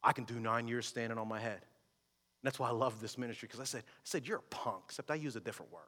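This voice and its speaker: A male pastor delivering an emphatic sermon, moving between loud shouted lines and low, quiet asides. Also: quick pace. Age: adult with a wife and kids. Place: church sanctuary. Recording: long reverb of a large hall.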